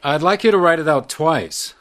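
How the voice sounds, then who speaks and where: An actor playing a blunt, loyal ex-drunk hotel detective, dry and probing, in a radio detective drama. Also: male voice, a little high, normal speed, a bit bright, no echo, natural voice. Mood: sly, measured. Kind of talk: radio detective drama acting with noir narration.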